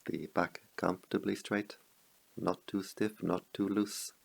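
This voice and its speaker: A man guiding a meditation as a teacher, speaking calmly in measured short phrases with long pauses. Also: low voice, gravelly. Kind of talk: guided meditation.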